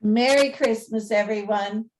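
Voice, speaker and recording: Slow-paced woman presenting and storytelling. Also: mid-range voice, a little mellow, poor audio. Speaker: narrator and presenter. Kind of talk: storytelling narration and informal presenting.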